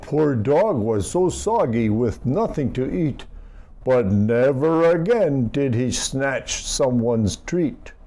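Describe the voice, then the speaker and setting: A man reads a rhyming children's picture book aloud in an expressive, animated way, speaking to young listeners. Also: mid-range voice, takes it slowly. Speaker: storybook reader for children.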